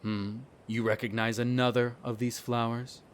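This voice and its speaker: A man's voice: medium pitch, little pitch movement, moderate pace, a little hiss or hum, lightly acted. A man acting a smug, contemptuous aristocratic vampire in an audio roleplay, with formal, disdainful diction. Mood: haughty, instructive.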